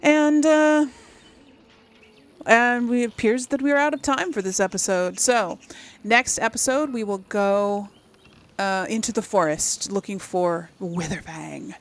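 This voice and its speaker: A woman hosting a gaming channel, giving casual, informal let's play commentary and thinking aloud. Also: medium pitch.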